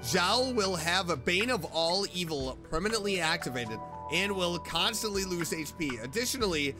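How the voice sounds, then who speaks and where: A woman giving casual, slangy gameplay commentary as a streamer, animated and quick to exclaim. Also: fairly low pitch. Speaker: streamer, game player. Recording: game music behind the voice. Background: video game music.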